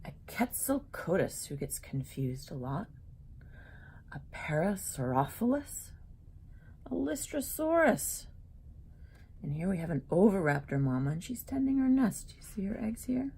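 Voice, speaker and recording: A woman children's author reading her picture book aloud and chatting in asides, with an animated storybook delivery addressed to young listeners. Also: medium pitch, a little slow, slight background noise.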